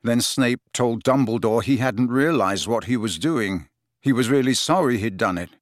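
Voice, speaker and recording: A man's voice: mid-range voice, normal speed, no echo, studio quality, lightly acted. A man voicing a grim, bitter teenage boy in an audiobook.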